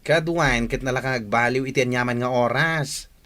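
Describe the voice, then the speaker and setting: A woman's voice: a bass voice, moderate pace, a bit bright. Blunt young Ilocano female friend. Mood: scolding. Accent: Filipino, Ilocano. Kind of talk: Ilocano radio drama acting.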